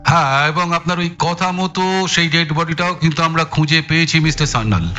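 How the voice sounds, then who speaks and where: An actor playing a brusque, menacing, sarcastic police inspector in an audio drama, needling with emphatic, taunting politeness. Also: male voice, fairly high pitch, a little fast, bright, forceful tone, soft background music. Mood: smug, pointed.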